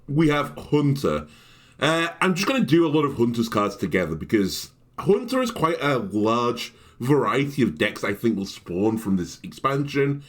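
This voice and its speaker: A man rating cards for a card game, sounding sick with a slightly deeper, raspy voice and clearing his throat now and then. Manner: clears throat, thinks aloud with ums. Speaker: card game content creator.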